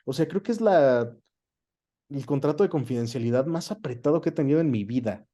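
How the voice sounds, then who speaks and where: A man with a Mexican accent chatting casually as an interview guest, telling anecdotes in an expressive, storytelling way. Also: medium pitch, brisk. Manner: animated anecdote-telling, acts out quoted dialogue. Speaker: guest, voice actor and dubbing director.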